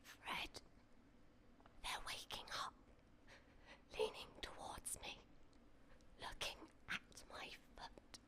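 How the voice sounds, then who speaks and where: A woman's voice: slow, pauses often, in a whisper, lightly acted. A woman playing a frightened but plucky young sweetheart in a radio horror drama, breathless and melodramatic, with old-fashioned exclamations. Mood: hushed dread. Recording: clear background noise, drama sound effects.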